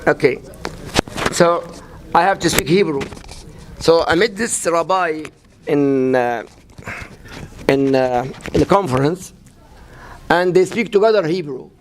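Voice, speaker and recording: Halting older man from Gaza. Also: a little high, slight background noise, poor audio. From Gaza, Palestine. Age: 60s. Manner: halting, broken English with many restarts. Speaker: imam, guest. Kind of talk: personal account in an interview. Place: mosque event.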